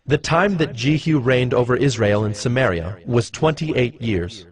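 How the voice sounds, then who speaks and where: A man reads scripture as scripted narration in a measured, solemn storytelling manner. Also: mid-range voice, lively intonation. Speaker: narrator of a dramatized audio Bible. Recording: music bed behind the voice. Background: background music.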